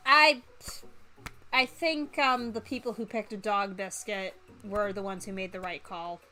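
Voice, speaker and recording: A woman streamer chatting casually and reading game text aloud, hesitant and thinking out loud. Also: mid-range voice, fairly even intonation, measured pace, soft background music. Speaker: streamer playing a visual novel for viewers.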